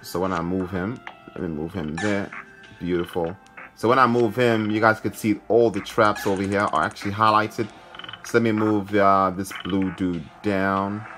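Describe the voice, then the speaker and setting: A male gaming host with a fairly deep voice talks casually through what he is playing. He is recorded indoors, with quiet game music underneath. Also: fairly even intonation, moderate pace. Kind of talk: casual gameplay commentary. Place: indoor room, filming a handheld phone.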